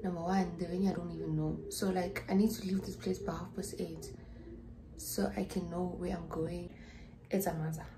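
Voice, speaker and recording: A woman's voice: on the low side, level tone, normal speed, noisy background. A young South African woman chatting to her phone camera in a small dorm room, rambling and dropping into whispered asides. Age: young adult. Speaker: student vlogger. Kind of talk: casual vlog chat to camera. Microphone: phone camera at arm's length.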